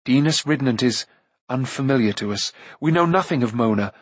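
A man voicing an earnest, worried young adventurer in a storybook, speaking gravely and firmly. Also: medium pitch, animated, moderate pace, dry recording. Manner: grave, firm, urgent.

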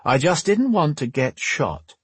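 A man's voice: on the high side, very animated, unhurried, breathy edge, no room sound, pitched above the performer's own voice, exaggerated voice. A man voicing a suave, formally courteous male rogue with an amiable manner in an audiobook. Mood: smooth, courteous. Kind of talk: audiobook character dialogue.

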